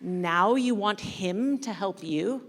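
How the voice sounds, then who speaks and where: Stern, sorrowful old patriarch voiced by a woman, very slow and reproachful, staged parable reading, reverberant hall. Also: mid-range voice, lively intonation, voice pushed down. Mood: sad, reproachful. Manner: grave, deliberate, reproachful. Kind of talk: dramatic church parable reading. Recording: echoey large hall.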